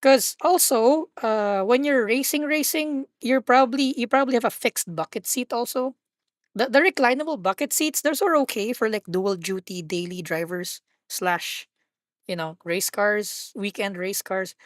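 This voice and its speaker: Husky woman, live-stream host, playful and rambling, dry close sound. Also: fairly high pitch, moderate pace, dry recording. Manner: rambling, self-correcting, playful. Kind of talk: casual live-stream chat while gaming.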